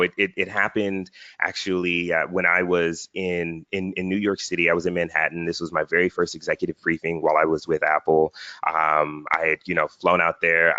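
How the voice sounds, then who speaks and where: A man's voice: low-pitched, bright, forceful tone, no room sound, muffled recording. A man speaking as an interview guest in an earnest, reflective conversational way, often checking in with "right?". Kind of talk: motivational conversational talk.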